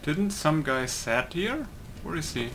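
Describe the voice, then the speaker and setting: A man's voice: a little high, sing-song, unhurried. A man giving casual let's play commentary in short muttered reactions, playing a video game. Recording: clearly noisy background.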